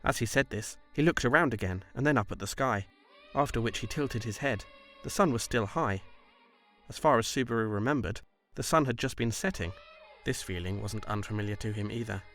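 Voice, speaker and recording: A man reading scripted story narration in a measured, literary delivery. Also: mid-range voice, fairly bright tone, soft background music.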